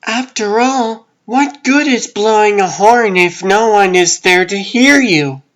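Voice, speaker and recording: A man's voice: high-pitched, slow pace, a bit bright, a little hiss or hum. A man voicing a confident, ambitious woman reporter in a comic book dub, with an insistent, emphatic delivery. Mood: persuasive. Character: confident, ambitious adult woman reporter arguing her case.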